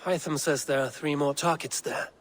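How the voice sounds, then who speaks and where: An actor playing a blunt, steady male warrior in a video game, terse and deliberate. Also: a little high, close to an everyday voice. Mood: purposeful. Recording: noisy outdoor game ambience.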